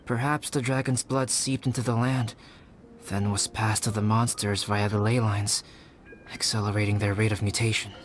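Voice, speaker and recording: A man playing a calm, scholarly young alchemist in a video game, speaking formally and with measured care, with music behind him. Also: lowish voice, a little hiss or hum, lightly acted. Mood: composed, intrigued.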